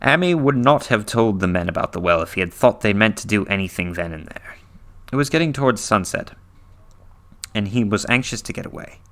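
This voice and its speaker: Low-voiced man reading dramatically. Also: a bit bright. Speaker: reader. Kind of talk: dramatic scripted horror story narration.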